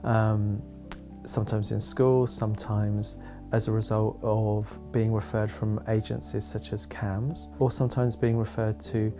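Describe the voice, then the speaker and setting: A man's voice: fairly low pitch, little pitch movement, a little slow. Careful-spoken man over guitar music. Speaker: youth support worker. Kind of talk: explanatory interview talk.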